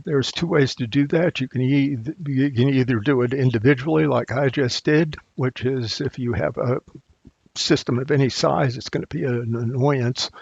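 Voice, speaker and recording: A man presenting an unscripted technical screencast tutorial, thinking aloud in a halting way as he goes. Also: mid-range voice, creaky, darkish tone, husky, dry recording, muffled recording. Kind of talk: unscripted software screencast walkthrough.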